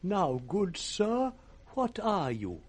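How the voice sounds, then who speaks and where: A man's voice: high voice, huge pitch swings, extremely slow, husky, exaggerated voice. A British man playing a blind, weary old nobleman in a radio Shakespeare drama, speaking with grave, plaintive piety. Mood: pleading. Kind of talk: 1960s radio Shakespeare acting.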